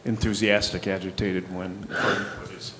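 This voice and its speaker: Low-voiced male reporter in an echoey room. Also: little pitch movement. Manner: halting, searching for words. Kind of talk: asking a press conference question. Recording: echoey room with clear background noise.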